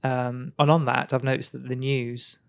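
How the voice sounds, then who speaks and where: A man's voice: medium pitch, flat delivery, moderate pace, vocal fry, clean tone. A man hosting a podcast, chatting casually and teasing his co-host, laughing often. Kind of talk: casual chat.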